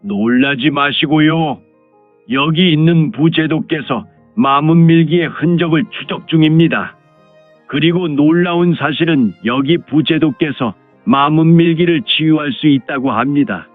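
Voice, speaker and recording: 남자가 연기하는 높은 톤의 아부하는 무협 가주. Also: male voice, fairly high pitch, measured pace. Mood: secretive, earnest. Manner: deferential, formal, explanatory. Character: hot-blooded clan lord, fawning toward his superiors. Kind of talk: Korean martial-arts audio drama acting. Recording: phone-like narrow sound, music behind.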